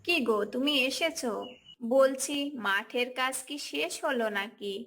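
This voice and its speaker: A woman playing a practical, gently bossy village wife and mother in a cartoon folk tale. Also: high voice, lively intonation, a little slow, faint music behind, exaggerated voice. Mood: caring, nagging.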